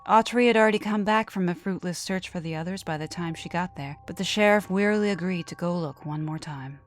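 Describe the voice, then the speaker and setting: Low-voiced woman narrating a horror story. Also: brisk. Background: background music.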